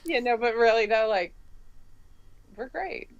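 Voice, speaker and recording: A woman's voice: on the high side, animated, unhurried, no echo, dull audio. A woman from a band, chatting and joking casually as an interview guest. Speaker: band member being interviewed.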